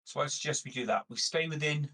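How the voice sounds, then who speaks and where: A man's voice: on the high side, fast talker, bright, forceful tone. A man chatting casually as a player in a tabletop game. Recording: slightly distant from the mic.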